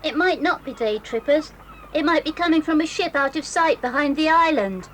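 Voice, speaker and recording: A woman's voice: high-pitched, expressive, normal speed, a bit bright, slight background noise. An actress voicing a sensible, level-headed boy cousin in an audio drama, talking in a plain, reasonable way. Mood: calm. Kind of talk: 1980s audio drama acting.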